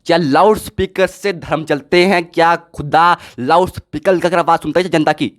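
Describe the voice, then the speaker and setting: A man putting questions as an interviewer, slightly halting in his phrasing. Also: fairly high pitch, steady tone. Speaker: interviewer, news anchor.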